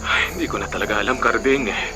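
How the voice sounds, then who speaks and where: An actor playing a blunt, easily exasperated young elder brother with a Filipino accent in a radio drama. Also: male voice, on the low side, fast talker, over music, close to an everyday voice. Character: indignant elder brother fed up with greedy relatives.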